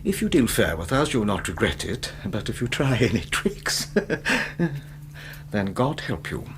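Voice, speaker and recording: A man's voice: medium pitch, big pitch swings, takes it slowly, fairly bright tone, a little hiss or hum, exaggerated voice. An actor playing a mean villain in a radio drama, falsely polite and menacing, with a nervous laugh in his speech. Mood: falsely genial menace. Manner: oily politeness with veiled threats.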